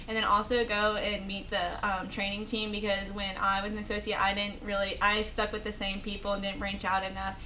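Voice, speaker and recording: A young woman speaks casually in an interview with a bright, forward voice at a middle pitch. A faint rumbling noise runs under the recording. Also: completely flat delivery, a little fast, few pauses, poor audio. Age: young adult. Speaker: university student ambassador.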